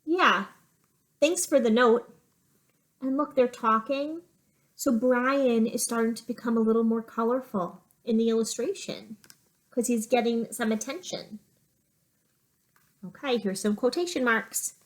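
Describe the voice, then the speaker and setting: A woman's voice: a little high, a little slow. A woman teacher reading a picture book aloud to children, with simple explanatory asides.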